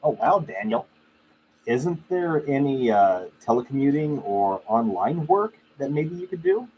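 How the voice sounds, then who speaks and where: A man's voice: medium pitch, very animated, unhurried, lo-fi sound. A man hosting a casual livestream, talking to viewers in a conversational, opinionated, anecdotal way. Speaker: livestream host. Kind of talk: casual chat with live viewers. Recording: clearly noisy live stream audio.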